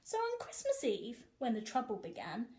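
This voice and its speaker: A woman teacher reading a picture book aloud to young children, with animated, sing-song emphasis. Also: a little high, moderate pace, slight background noise. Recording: echoey room.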